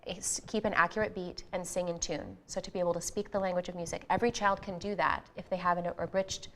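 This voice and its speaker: A woman's voice: fairly low pitch, fairly even intonation, quick pace, fairly bright tone. A woman guest on an interview show, explaining her music program fluently and earnestly. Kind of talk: interview answers.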